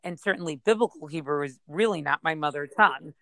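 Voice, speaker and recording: A woman's voice: low voice, fast talker, vocal fry, a bit bright, no room sound. A woman talks through an ancient text with a study partner, reading lines and then unpacking them. Her speech wanders with fillers and restarts, and she checks in with "right?" again and again. The sound is close and dry, with no room around it. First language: English. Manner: thinking aloud with many fillers and self-corrections; reads Aramaic passages then paraphrases. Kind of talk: casual Talmud study discussion.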